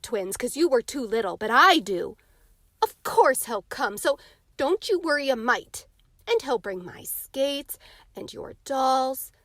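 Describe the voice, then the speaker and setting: A woman's voice: fairly high pitch, a bit bright, no echo, clean studio sound, full character voice. A woman voicing a confident, eager ten-year-old boy in an emphatic, earnest storybook read-aloud. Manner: emphatic, childishly earnest and boastful.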